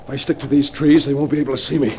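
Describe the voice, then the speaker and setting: A man's voice: medium pitch, flat delivery, very fast, a little mellow, slight background noise, natural voice. An actor playing a ruthless, hunted male crook in a 1940s radio drama, talking in a hard-boiled gangster way.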